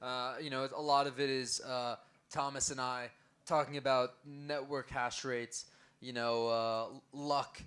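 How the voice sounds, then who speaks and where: A man co-hosting a live talk in a bar, with a mid-pitched voice. He speaks casually and makes wry remarks. When he gets going, he stumbles over repeated words. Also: level tone, unhurried, clean tone. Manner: wry, stammering when warming up. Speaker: co-host. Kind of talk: casual pub panel chat.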